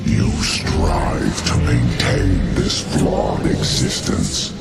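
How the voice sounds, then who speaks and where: An actor playing an ancient malevolent god who speaks with slow, scornful menace. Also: male voice, reverberant, distant mic, over loud music, exaggerated voice. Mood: contemptuous. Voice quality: deep gravelly menacing. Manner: slow, contemptuous threats.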